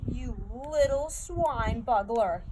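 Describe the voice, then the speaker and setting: A high-pitched, foul-tempered giant played by a woman, greedy and bullying. He roars his threats in clumsy, mangled grammar, storybook style, over clear background noise. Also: expressive, slow. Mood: disgusted, enraged. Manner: shouting, roaring, mangled comic grammar.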